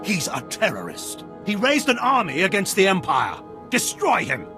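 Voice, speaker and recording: An actor playing a haughty, commanding imperial admiral in a video game, speaking formally and with authority. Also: male voice, sits high, wildly swooping pitch, normal speed. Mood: ruthless, taunting. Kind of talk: video game cutscene acting. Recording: game music behind the voice.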